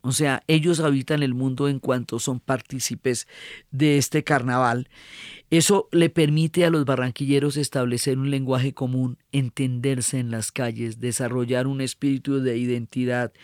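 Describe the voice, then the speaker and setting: A man's voice: mid-range voice, steady tone, moderate pace, a little mellow, dry recording, studio quality. A man, explaining carnival traditions enthusiastically and repeating words for emphasis. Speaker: cultural commentator.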